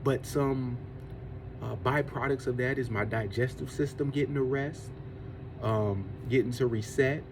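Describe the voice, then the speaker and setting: A man's voice: mid-range voice, fairly even intonation, measured pace, background noise. A man in his forties giving an earnest, preachy faith-based talk on discipline and eating, circling back to the same points as he goes. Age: 40s. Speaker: podcast host. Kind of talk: faith-based motivational monologue.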